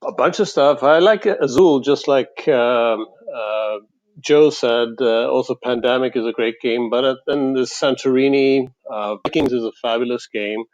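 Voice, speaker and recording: A man from Sweden chats casually as an interview guest. His voice is mid-pitched, and the recording is a little dull and lo-fi. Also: normal speed. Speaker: podcast guest, game publisher. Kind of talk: casual chat.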